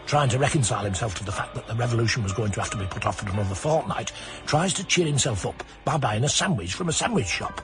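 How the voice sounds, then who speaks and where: A man acts out a sardonic Czech rogue telling war stories for radio. His lively, swooping voice wanders into digressions. Music plays clearly behind him. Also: medium pitch, quick pace, few pauses, lightly acted. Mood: wry. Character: wry Czech rogue looking back on the war. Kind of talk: comic storytelling narration in a radio play. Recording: music under the voice.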